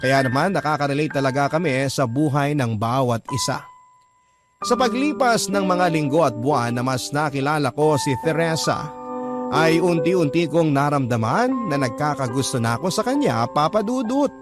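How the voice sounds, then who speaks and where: A man's voice: fairly high pitch, expressive, normal speed. A man narrating a love story in the first person, in a confiding way, speaking directly to the host. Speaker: narrator reading a listener's letter. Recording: clear music bed behind narration.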